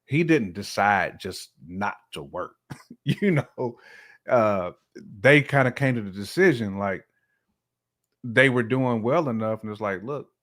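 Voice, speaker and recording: A man's voice: lowish voice, animated, unhurried, a bit bright. A man, middle-aged, talking casually as a podcast guest, explaining his views in a reflective, colloquial way. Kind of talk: casual relationship discussion.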